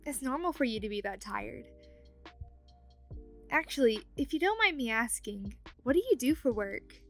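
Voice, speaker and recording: Girl acting as teasing chatty ghost roommate, highish voice, audio roleplay w music behind. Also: expressive, normal speed, natural voice. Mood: teasing, friendly. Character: playful, teasing, meddling young female ghost roommate.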